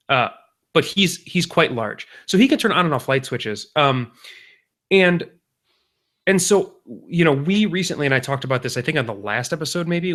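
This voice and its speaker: A man in his thirties talks through a story on a casual podcast. His voice is bright and forceful. He wanders and jokes, with plenty of ums along the way. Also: medium pitch. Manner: rambling, jokey storytelling with many fillers. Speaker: podcast co-host.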